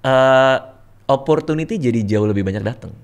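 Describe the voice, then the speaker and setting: A man in his forties hosting a casual chat, relaxed and slangy, repeating words for emphasis. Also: mid-range voice, normal speed, clean tone. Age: 40s. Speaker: host.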